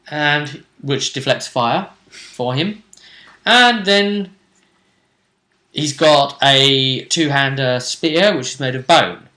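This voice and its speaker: A man hosting a tabletop role-play show, casually recapping the action in a jokey, theatrical way with drawn-out emphasis. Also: mid-range voice, sharp tone. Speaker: game master and host.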